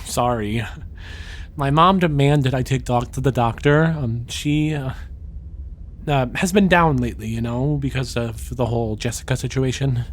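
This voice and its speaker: Actor as an excitable, flustered young friend. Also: male voice, mid-range voice, moderate pace, a little hiss or hum, close to an everyday voice. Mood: sheepish, earnest. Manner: flustered, rambling. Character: awkward, pleading, excitable young adult friend. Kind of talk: audio drama acting.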